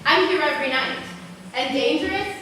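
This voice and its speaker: Woman playing wry teasing high school girl, amateur stage play, super echoey hall, noisy recording. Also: moderate pace, recorded from a distance, natural voice. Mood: guarded, wry. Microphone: distant video camera.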